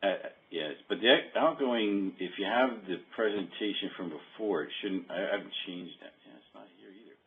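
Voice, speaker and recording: A man talking informally through a software demo on a remote call, often muttering to himself as he works. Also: low-pitched, normal speed, hardly any pauses. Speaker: software demonstrator. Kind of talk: casual screen-share demo talk. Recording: dull narrow-band call audio.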